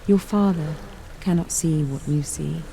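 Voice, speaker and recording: A woman playing a solemn, intimate narrator in a video game, telling the story in a hushed, breathy voice over background noise. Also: sits low, a little slow, rough recording. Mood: gentle, solemn. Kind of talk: scripted dramatic game narration. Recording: clear background ambient noise.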